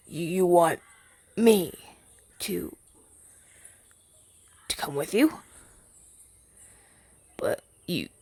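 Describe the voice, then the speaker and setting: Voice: medium pitch, gravelly, no echo. A man voicing a shy, earnest crow familiar in an ASMR roleplay, hesitant and rambling, with stammers. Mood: stunned, unsure.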